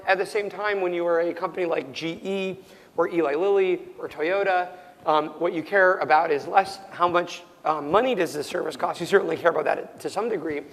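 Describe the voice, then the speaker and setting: A young man, a startup founder, answering interview questions loudly and almost yelling, with plenty of ums and restarts, his voice worn and cracking. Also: a little high, long reverb tail. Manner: loud, nearly yelling, full of ums and restarts. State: losing his voice, prone to cracking.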